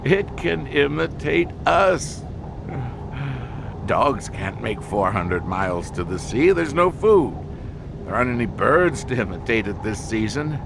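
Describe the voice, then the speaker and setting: An actor playing an unhinged, paranoid man in an audio drama, talking in halting phrases broken by manic laughter. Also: big pitch swings, gravelly. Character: unhinged man gripped by paranoid terror of an imitating monster. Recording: constant noisy rumble behind the voice.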